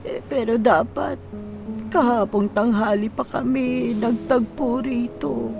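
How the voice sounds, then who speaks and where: A woman playing a frail, humble old woman in a radio drama, speaking haltingly and gratefully. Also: a little high, steady tone, gravelly, music in the background. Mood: hesitant. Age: older. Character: frail, humble, hungry old woman seeking housemaid work.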